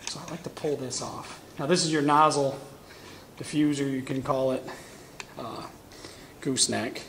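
Casual shop demonstrator, thirties, gravelly voice, lapel mic, noisy workshop background. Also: male voice, medium pitch, fairly even intonation, normal speed, fairly bright tone. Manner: casual, talks while working with his hands. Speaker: welding equipment demonstrator. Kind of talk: hands-on product demonstration. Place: welding shop corner with curtain backdrop.

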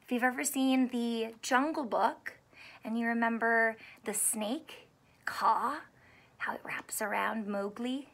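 High-voiced, slow-paced woman reading to children. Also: vocal fry. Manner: expressive, questioning, drawing out key words for young children. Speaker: teacher reading aloud to young children. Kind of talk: animated read-aloud of a children's book. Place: small indoor room with couch and stuffed toys.

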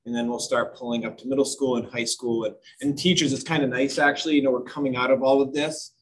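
School admin, male, mid-pitched, casual meeting talk. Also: steady tone, fast talker, low-quality recording. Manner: conversational, loose with fillers. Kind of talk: meeting remarks.

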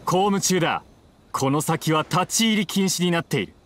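A man's voice: on the high side, moderate pace, fairly bright tone, a little hiss or hum, close to an everyday voice. A man voicing a stern, officious guard in an anime game.

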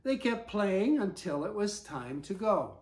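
An older man in about his seventies reads a storybook aloud to a small child, pausing for gentle asides and questions. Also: sits high, very animated, roomy sound. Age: older, about 70s. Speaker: story reader. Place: living room armchair, indoors. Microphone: camera a few feet away.

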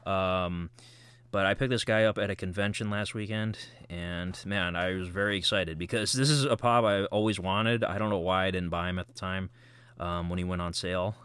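A low-voiced man talks through a toy unboxing in a loose, rambling way, filling pauses with ums and little laughs. His voice is smooth and resonant, and the sound is dry and close with almost no room in it. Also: fairly even intonation, fairly bright tone, dry recording. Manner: rambling, many ums, chuckles often. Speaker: collectible unboxing vlogger. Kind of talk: casual unboxing chat.

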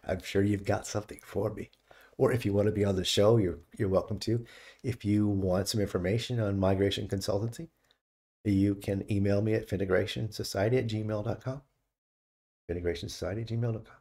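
Gravelly opinionated American man, rambling. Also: fairly low pitch, steady tone, a little fast, warm tone. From United States. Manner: rambling, opinionated, restarts often. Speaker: channel host. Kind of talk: casual to-camera monologue. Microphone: studio condenser mic on stand.

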